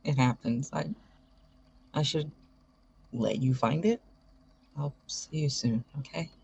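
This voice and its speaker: A man acts out a shy, tender young man in an audio drama. His voice is fairly high for a man and badly husked, with a creaky edge. He speaks haltingly, stammering over his words. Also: a little mellow, heavily acted, low-quality recording. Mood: subdued, tender.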